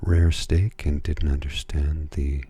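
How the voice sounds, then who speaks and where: A middle-aged man, a little hoarse, telling a rambling story in slow, soft-spoken ASMR style. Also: extremely low pitch, level tone. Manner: slow, reflective, rambling with fillers. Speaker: ASMR creator. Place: home at night. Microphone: near-field microphones, close.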